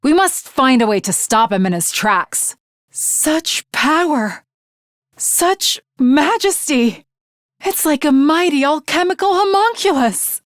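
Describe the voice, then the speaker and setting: A woman's voice: high voice, expressive, slow, no echo, clean studio sound. A woman playing an earnest, haughty young female samurai in an anime video game, with formal, archaic, melodramatic diction. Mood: bombastic, excited. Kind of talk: dramatic anime game character acting.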